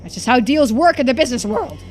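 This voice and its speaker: A woman's voice: sits high, expressive, fast, darkish tone, voice pushed up. Woman voicing a stern, domineering island patriarch. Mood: scornful, pressing. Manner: scornful, commanding. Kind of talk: visual novel character voicing.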